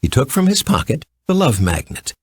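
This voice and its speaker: A man reading a children's story as an expressive scripted narration. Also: medium pitch, moderate pace, slightly rough, no echo, studio quality.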